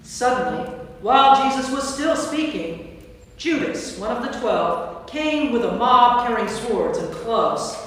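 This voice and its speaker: A woman's voice: on the high side, lively intonation, a little slow, a bit bright. Echoing woman narrating scripture. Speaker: narrator, priest. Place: church, recorded from a distance. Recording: very echoey church, distant mic, faint noise.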